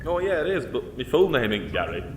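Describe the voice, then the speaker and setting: A deadpan, literal-minded British prisoner acted by a man in a comic fantasy audio drama. His voice is mid-pitched and unbothered, in a roomy echo with faint noise. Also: a little fast, lightly acted. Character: unbothered, literal-minded male prisoner who winds people up. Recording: echoey, faint background noise.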